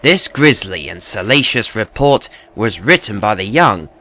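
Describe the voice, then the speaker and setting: A man narrating a true tale as scripted, dramatic storytelling in a measured, grave manner. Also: on the low side, sing-song, bright, forceful tone, a little hiss or hum.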